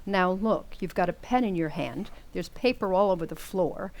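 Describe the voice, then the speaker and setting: A woman playing a sweet but sharp-eyed American housewife in a 1940s radio comedy style. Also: lowish voice, moderate pace, close to an everyday voice. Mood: suspicious. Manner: fond, probing, reproachful.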